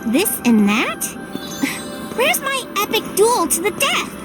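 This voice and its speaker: A spirited young game heroine with a high, very lively voice. She is sisterly, teasing and earnest, delivering her lines slowly over music. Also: heavily acted. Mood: playful, miffed. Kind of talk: dramatic video game voice acting.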